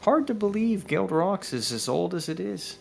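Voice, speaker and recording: A man's voice: high voice, sing-song, normal speed, creaky, slight background noise, voice pushed up, full character voice. A man voicing a concerned, weary, motherly village woman with a folksy, musing manner in a story game.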